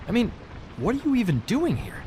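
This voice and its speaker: An actor playing a slippery small-time thief in a video game, talking in glib, ingratiating, hedging patter. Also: male voice, extremely high pitch, huge pitch swings, fairly quick, mellow, slight background noise, heavily acted. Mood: defensive.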